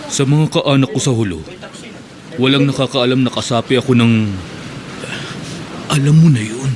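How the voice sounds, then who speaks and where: An actor with a Filipino accent playing a secretive, devout militant in a radio drama, guarded and insistent. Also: male voice, mid-range voice, normal speed, a crowd in the background, close to an everyday voice. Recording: clear background noise.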